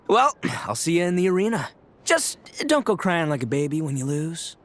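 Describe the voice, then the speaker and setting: A man's voice: a little high, very animated, unhurried, sharp tone, a little hiss or hum, full character voice. A man playing a brash, boastful young gang boss in an anime game, full of cocky bravado. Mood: boastful, competitive.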